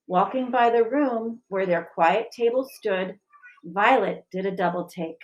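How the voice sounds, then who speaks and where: A woman reading and chatting for a school audience in an expressive, measured storybook style. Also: medium pitch, dull audio. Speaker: school staff member reading aloud. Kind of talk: children's storybook read-aloud and informal discussion.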